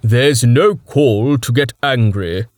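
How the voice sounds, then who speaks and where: A man's voice: mid-range voice, slow pace, a little mellow, no room sound, studio quality, natural voice. Man doing a scolding peacemaker. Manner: scolding, reasonable. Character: peacemaking man playing diplomat.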